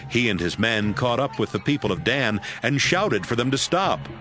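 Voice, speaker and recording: A man narrating scripture in a steady, measured way. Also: medium pitch, faint music behind. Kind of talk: scripted audio Bible narration.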